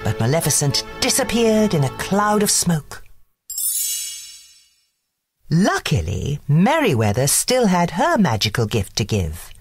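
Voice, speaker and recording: A woman narrating a scripted bedtime story in an expressive, deliberate way. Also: deep voice, husky, faint music behind.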